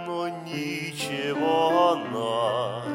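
A man sings a romance as a classical soloist in an operatic style. The phrasing is very slow and drawn out, over loud musical accompaniment. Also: a little high, over loud music.

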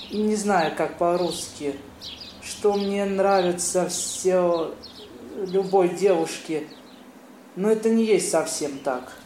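An actor playing a courteous, flustered young man who speaks halting broken Russian in an amateur audio play. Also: sits high, a little mellow. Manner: halting, ungrammatical foreigner's speech. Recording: roomy echo, noisy birdsong ambience.

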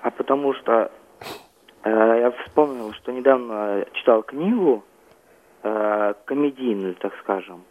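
Teen guy calling into radio, muffled phone sound, kinda hesitant answers with lots of filler. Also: medium pitch. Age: teenager. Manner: halting, reserved, often says "like". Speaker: young amateur playwright, phone-in guest. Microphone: telephone. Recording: muffled, band-limited phone line.

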